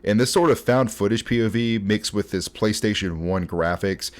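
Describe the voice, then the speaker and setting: A man's voice: fairly low pitch, moderate pace. A young man in his twenties hosting a casual, conversational video essay, talking informally through scripted commentary. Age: 20s. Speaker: host.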